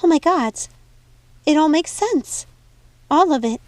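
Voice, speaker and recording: A woman voicing an anxious, excitable young princess in an audiobook, talking urgently to herself. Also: high voice, slow, dry recording, voice pushed up. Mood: excited realization. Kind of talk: audiobook character dialogue.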